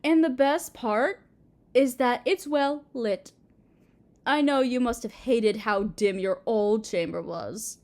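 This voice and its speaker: A woman playing a doting, possessive Mother Earth goddess in a roleplay audio drama, sweet and cooing as if to a small child, with gentle menace. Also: fairly high pitch, slow pace. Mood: delighted doting.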